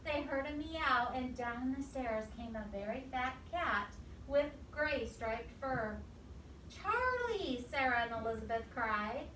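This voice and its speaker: A woman reading a storybook aloud to young children, expressive, pausing to ask simple questions and repeat answers. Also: sits high. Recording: echoey, distant mic, clear background noise.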